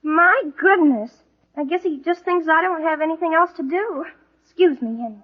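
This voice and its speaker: A woman's voice: very high voice, normal speed. An actress playing a coy, well-mannered teenage girl in 1940s radio comedy style. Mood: feigned surprise. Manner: coy, polite. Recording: muffled vintage radio recording.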